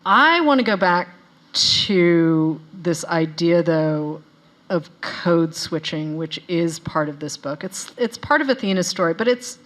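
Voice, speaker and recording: A woman's voice: fairly low pitch, lively intonation, a little slow, a bit bright. A woman hosting an interview, asking questions in a chatty, playful way. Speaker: interviewer, host.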